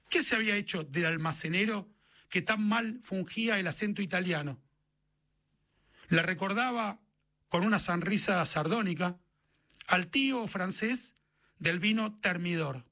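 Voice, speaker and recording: An Argentine man narrates a literary short story. His voice sits high for a man and has a bright, forward edge. The delivery is scripted storytelling, read as prose. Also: a little slow, long pauses.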